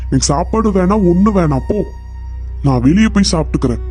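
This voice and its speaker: A man's voice: high voice, animated, brisk, mellow. A man voicing a short-tempered husband in a cartoon story who scolds and repeats himself, with music playing behind. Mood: irritated. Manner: scolding, irritable, repetitive. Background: background music.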